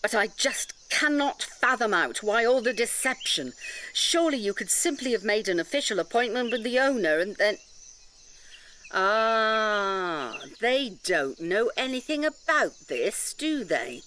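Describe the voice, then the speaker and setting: An actress playing an indignant, articulate defender of a nature sanctuary in an audio drama, challenging and emphatic. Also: female voice, fairly high pitch, animated, unhurried, sharp tone, slight background noise. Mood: puzzled, challenging.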